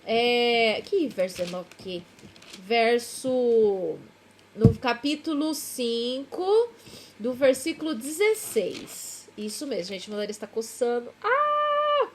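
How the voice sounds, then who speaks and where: A woman's voice: a little high, very animated, a little slow, a little hiss or hum. A Brazilian woman with a Brazilian accent, chatting casually in a rambling devotional vlog, correcting herself and laughing at herself. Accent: Brazilian Portuguese.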